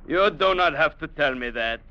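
Sharp-voiced, sneering colonial bully boss. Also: male voice, on the high side, animated, normal speed. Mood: bullying, irritated. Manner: mocking, domineering, sarcastic. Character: sneering, bullying colonial trading agent captain, a man. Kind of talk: 1940s-50s radio drama acting. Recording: muffled, hissy old radio broadcast.

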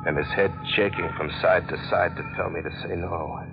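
A muffled old radio mystery recording carries a low-pitched taxi driver. He is a nervous family man, colloquial and hesitant, telling his tale in hardboiled 1940s radio style. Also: fairly even intonation, brisk, gravelly, music in the background. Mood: grim narration. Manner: hesitant, colloquial, deferential under pressure. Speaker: radio drama actor and first-person narrator.

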